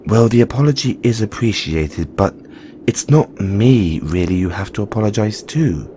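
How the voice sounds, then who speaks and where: A man's voice: fairly low pitch, animated, normal speed, dark tone, a little hiss or hum, lightly acted. A man playing a frank, caring Christmas elf in an audio roleplay, earnest and informal, chiding yet reassuring. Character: frank, caring male Christmas elf, weary but supportive. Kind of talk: second-person audio roleplay monologue.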